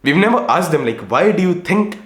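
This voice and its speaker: A young man from India with an Indian accent, talking casually and excitedly to camera about his startup, full of slang, swearing and fillers. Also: high voice, a little fast, echoey room. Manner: excited, slangy, swears a lot, lots of "like" and "bro". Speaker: startup founder. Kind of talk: casual vlog talk to camera.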